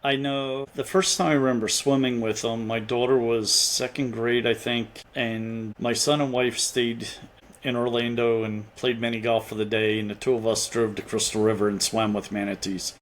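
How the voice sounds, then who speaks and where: A man's voice: medium pitch, little pitch movement, normal speed. Middle-aged man with a Philadelphia accent. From Pennsylvania. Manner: rambling, with frequent restarts and fillers. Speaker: podcast guest. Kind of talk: casual interview conversation.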